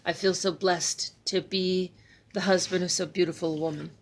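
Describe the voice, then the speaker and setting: A woman's voice: fairly low pitch, steady tone, moderate pace. A woman voicing an earnest, formal male warrior god who speaks with devotion. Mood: tender.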